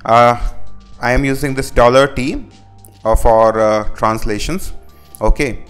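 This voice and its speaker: A man from India whose first language is Hindi, talking through a coding tutorial over his screen and filling his sentences with pauses and restarts. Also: mid-range voice, steady tone, fairly bright tone, clean tone, soft background music. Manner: halting, many "uh" fillers and restarts. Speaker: web developer.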